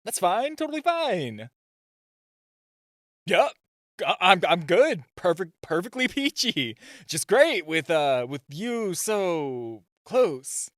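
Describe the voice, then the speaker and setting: A man's voice: sits high, sing-song, slow, fairly bright tone, no echo, exaggerated voice. Man acting a shy, stammering gadget nerd, dry close sound. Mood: nervous, awkward. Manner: nervous, stammering, flustered. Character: super-shy, flustered nerdy male gadget inventor. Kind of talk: amateur roleplay audio acting.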